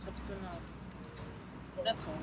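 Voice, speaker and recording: Woman explaining things over loud traffic. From Moscow, Russia. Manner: measured and explanatory. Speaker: interview guest. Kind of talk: explanatory conversational answers. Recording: distant mic with loud traffic noise.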